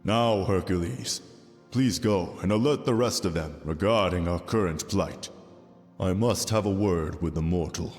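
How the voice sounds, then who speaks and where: A deep-voiced, fatherly old king of the gods played by a man addresses the listener in an audio roleplay. He speaks slowly and formally, with stately benevolence. His voice rings through a long reverberant hall over music. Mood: commanding. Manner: formal, stately, measured delivery. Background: soft background music.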